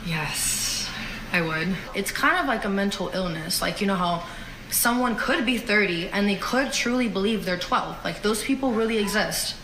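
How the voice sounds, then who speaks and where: A woman's voice: medium pitch, lively intonation, moderate pace, noisy background. A woman being interviewed, answering in a hesitant, hedging way. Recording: roomy echo.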